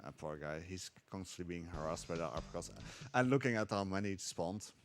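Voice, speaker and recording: A man calmly explaining tricks while playing a game live, with frequent fillers. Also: low voice, fairly quick, slight background noise. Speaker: speedrunner. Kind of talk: live speedrun commentary.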